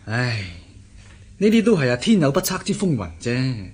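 An actor playing a self-assured, chatty government interpreter in a radio drama, explaining things in a confiding manner. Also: male voice, mid-range voice, big pitch swings, normal speed, a little mellow, slightly rough. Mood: sociable, sympathetic. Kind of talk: Cantonese radio drama acting.

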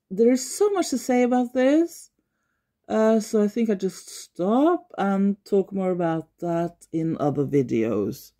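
A Norwegian woman talking unscripted in her living room, pausing often as she searches for words. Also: mid-range voice, warm tone. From Norway. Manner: thoughtful, searches for words, frequent pauses. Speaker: environmental vlogger. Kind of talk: unscripted talk to camera.

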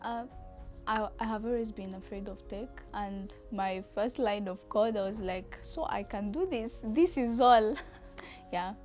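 A woman's voice: mid-range voice, a little slow, a little mellow, soft background music. Reflective young woman. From Rwanda. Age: young adult. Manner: reflective, with frequent hesitation fillers. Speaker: coding student. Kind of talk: interview testimonial. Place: outdoor terrace. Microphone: lapel mic.

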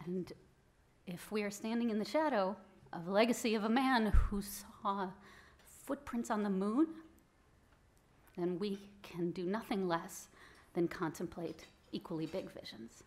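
A woman's voice: medium pitch, unhurried, a little mellow. Woman in her 30s doing an award acceptance speech, earnest, punches key words, stand mic in a hall. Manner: earnest, rising emphasis on key phrases. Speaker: award recipient giving speech. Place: event hall with audience, indoors. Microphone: stand mic at podium.

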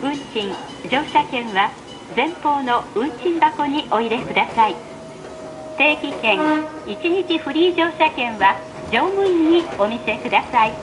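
High-voiced woman, slow train announcement. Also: expressive, music in the background, rough recording. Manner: formal, evenly enunciated. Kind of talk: recorded train announcement, scripted. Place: played over speakers inside a moving train. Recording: train PA with clear running noise. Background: train running noise.

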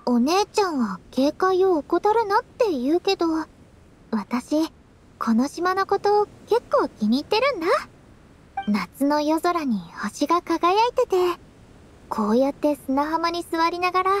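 A woman voicing a sweet, earnest teenage girl priestess in a Japanese anime game, gentle, girlish and a little shy. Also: very high-pitched, heavily acted. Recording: studio voice over game music.

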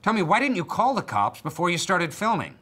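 An actor playing a confrontational TV interviewer, theatrical, sarcastic and pointed in a dramatized news interview. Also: male voice, lowish voice, lively intonation, moderate pace, fairly bright tone. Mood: accusing, sarcastic.

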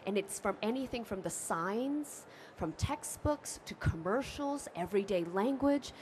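A woman's voice: mid-range voice, expressive, a little slow, darkish tone, slight background noise. A woman activist on a panel, explaining earnestly at a table microphone. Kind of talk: panel discussion. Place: bookfair panel stage with an audience, indoors.

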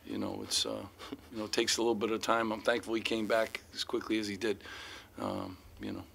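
A man's voice: fairly low pitch, fairly even intonation, brisk, gravelly, fairly bright tone, slight background noise. A middle-aged man, a head basketball coach, answering reporters' questions after a game, rambling with many "you know" fillers and restarts. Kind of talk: postgame press conference answers. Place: press room in front of sponsor backdrop. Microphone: table mic in front of him.

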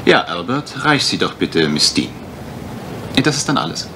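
A polite gentleman investigator in a vintage mystery drama. His low voice moves with lively intonation as he probes formally, under clear recording noise. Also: normal speed. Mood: courteous. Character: courteous gentleman investigator, measured and patient. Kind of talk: 1980s German mystery radio play acting. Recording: noisy old radio drama recording.

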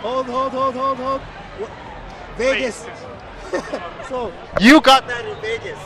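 A man's voice: extremely high pitch, steady tone, slow pace. A man hosting a casual, joking street interview outdoors on a handheld microphone, teasing playfully as he goes. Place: outdoors on a busy city street at night. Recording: loud street crowd and traffic noise.

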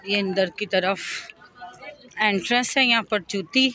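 A woman's voice: medium pitch, a little slow, pushed and bright. A woman giving a casual, chatty walking vlog, pointing out her surroundings, recorded on a handheld phone outdoors. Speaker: vlogger. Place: large busy open-air mosque courtyards and grounds. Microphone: handheld phone, speaker behind camera. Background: crowd of visitors.

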